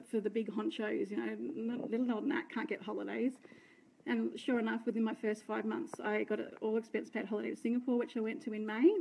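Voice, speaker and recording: A chatty Australian woman in her thirties talks straight into her phone camera indoors, in a slightly high voice. Her voice is a little croaky, and she rambles in a warm, self-deprecating way, stopping to greet viewers. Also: slight background noise, poor audio. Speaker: direct sales team leader. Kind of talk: unscripted live video talk. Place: indoor room by curtains. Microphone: phone held close, front camera.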